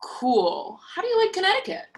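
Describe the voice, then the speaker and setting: A woman reading the part of a chatty, naive young college roommate in a play, expressive and opinionated. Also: extremely high pitch, brisk, exaggerated voice. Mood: bubbly, nosy. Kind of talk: virtual play reading, naturalistic dialogue.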